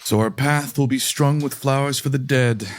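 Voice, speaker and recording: An actor playing an amused, smitten lowlife plotting murder in an old-time radio comedy drama, with a flippant, effusive manner. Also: male voice, fairly even intonation, moderate pace, close to an everyday voice.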